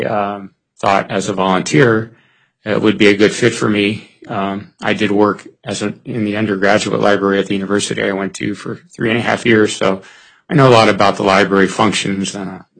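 A man in his sixties, hoarse, answering interview questions for a board seat at a public meeting, pausing with many fillers and restarts. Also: fairly low pitch, level tone, a bit bright, low-quality recording.

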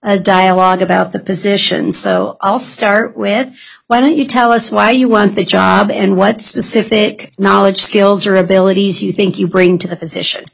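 A woman's voice: mid-range voice, fairly even intonation, moderate pace, vocal fry, poor audio. A woman chairing a public board meeting, polite and procedural as she calls items and asks questions.

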